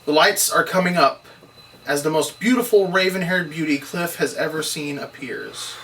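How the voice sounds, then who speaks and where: A man's voice: a little high, normal speed, a bit bright. A man reading stage directions as scripted narration at a drama table read. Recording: distant microphone, noisy room.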